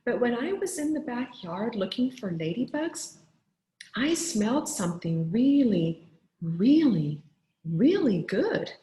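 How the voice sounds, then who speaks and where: A woman teacher reading aloud to children in an expressive storybook style, with deliberate, clearly phrased delivery. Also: a little high, reverberant, poor audio.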